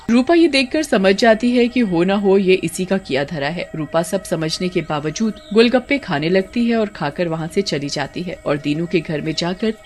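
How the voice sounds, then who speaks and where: A woman narrator reading a scripted story in a dramatic storytelling style. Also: lowish voice. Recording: music behind the voice.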